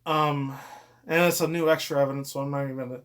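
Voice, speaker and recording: Slightly high-voiced man, rambling and profane. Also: steady tone, fast, a bit bright, clean tone. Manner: profane, slangy, digressive. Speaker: commentary vlogger. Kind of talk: rambling casual news commentary.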